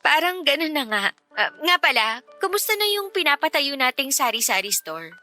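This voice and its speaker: A woman's voice: high-pitched, very animated, normal speed, pushed and bright, full character voice. A woman playing a self-sacrificing young working woman in a Tagalog radio drama, earnest, emotional and firm. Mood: masking sadness.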